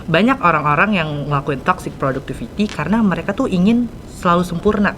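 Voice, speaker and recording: A man's voice: high-pitched, quick pace, a bit bright, a little hiss or hum. A teenage boy hosting a casual school podcast, chatty and full of slang and fillers. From Indonesia. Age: teens. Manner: slang-heavy, many fillers. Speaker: student podcast host.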